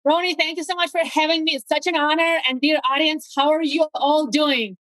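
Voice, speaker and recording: A woman's voice: high voice, steady tone, brisk, a bit bright, no echo, low-quality recording. A woman from Latvia with a Latvian accent, speaking English as a second language, talking as an eager guest on a casual interview and repeating words as she searches for phrasing. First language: not English. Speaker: guest real estate agent.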